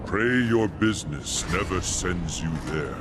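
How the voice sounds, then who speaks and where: An actor playing a solemn angel warrior who guards an outpost of light in a fantasy game, speaking formally and with measure. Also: male voice, low voice, expressive, a little slow, noisy background.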